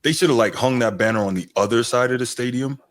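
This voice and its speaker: A man co-hosting a podcast, talking sports in an easygoing, gracious way that often trails off quietly. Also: medium pitch, fast. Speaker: podcast co-host. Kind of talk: casual sports chat.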